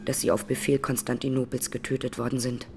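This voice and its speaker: An actress playing an Armenian woman in her twenties, a massacre survivor giving measured, sober testimony in a radio drama. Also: rumbling low, little pitch movement, over music. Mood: grave, sober. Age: 20s.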